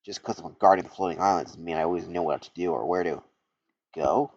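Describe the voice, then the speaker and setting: Tough male echidna, low and wry, read by a man, lo-fi. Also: fast talker, darkish tone, no room sound. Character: tough young male echidna guardian, blunt. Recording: dull lo-fi recording.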